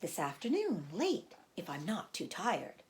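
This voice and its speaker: A woman's voice: fairly low pitch, huge pitch swings, noisy background. A woman playing a polite, weary spider lady. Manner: polite, measured, dry. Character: wise gentle female spider, polite and weary.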